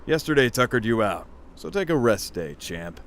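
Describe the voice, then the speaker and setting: A man playing an easygoing, supportive comrade who is gently mocking, in an audio drama. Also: medium pitch, big pitch swings, moderate pace, a little hiss or hum, natural voice. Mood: teasing, soothing.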